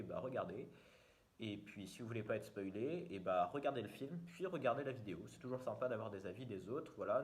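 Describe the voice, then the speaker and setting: A young man, hosting a casual vlog in a rambling, jokey way, full of fillers and restarts. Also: mid-range voice. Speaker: YouTuber. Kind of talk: casual self-mocking vlog.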